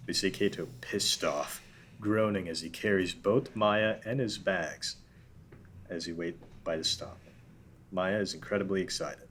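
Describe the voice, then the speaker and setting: A man reading the stage directions and narration of a script at a table read in a steady, matter-of-fact way. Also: low-pitched, a little slow, a little hiss or hum.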